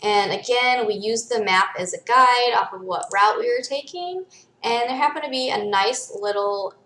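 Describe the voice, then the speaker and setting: A woman's voice: fairly high pitch, moderate pace, sharp tone, echoey room, low-quality recording. A middle-aged American woman explaining things step by step in casual, informal vlog talk. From United States (born in Michigan, raised in Arizona from age seven or eight). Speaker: vlogger.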